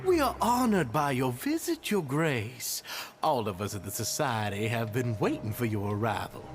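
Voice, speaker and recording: A man's voice: mid-range voice, very animated, normal speed, faint music behind, close to an everyday voice. An actor playing an earnest, effusively polite male society leader in a fantasy game. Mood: earnest, honored. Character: deferential, earnest male head of a reform society. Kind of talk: scripted game dialogue.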